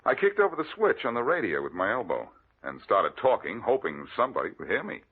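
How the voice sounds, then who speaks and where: A man's voice: fairly low pitch, big pitch swings, quick pace, husky. An actor playing a cool, wisecracking male detective with a dry, teasing manner in 1940s radio drama style, on a tinny old recording. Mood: matter-of-fact.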